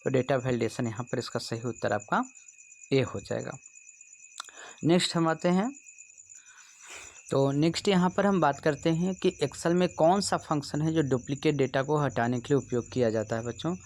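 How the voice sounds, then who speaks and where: A man's voice: medium pitch, normal speed. A man from India with a Bihari accent, speaking as a computer teacher in an explanatory exam-prep lesson, instructive and often checking that students follow. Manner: instructive, repeatedly checks understanding.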